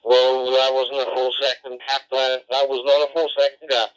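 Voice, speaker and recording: A man's voice: medium pitch, level tone, moderate pace, clear, resonant tone. A man speaking as an amateur radio operator, disapproving and exasperated, over a lo-fi digital radio transmission. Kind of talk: radio argument. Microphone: radio transceiver microphone.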